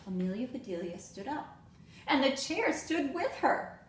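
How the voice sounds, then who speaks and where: A woman reads a picture book to young children in a high, very lively voice. She is expressive and speaks to them directly. The room is echoey, with faint noise behind her. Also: measured pace. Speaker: teacher reading aloud to children. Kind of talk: animated children's storybook read-aloud.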